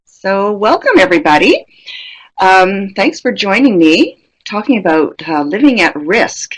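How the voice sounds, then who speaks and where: A woman's voice: mid-range voice, sing-song, measured pace, rough recording. A middle-aged woman presenting a professional webinar in a conversational, reflective way, putting questions to her audience. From Canada.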